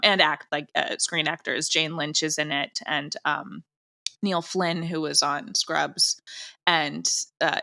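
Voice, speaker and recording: Woman audio drama director chatting in an interview, bright voice, bit of fry, casual. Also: fairly low pitch.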